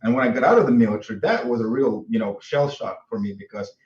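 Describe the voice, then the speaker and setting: A man with a Russian accent, a military veteran, speaking haltingly on a panel with repeated words. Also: mid-range voice, animated, a little mellow. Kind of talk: panel discussion. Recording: roomy, distant, dull sound.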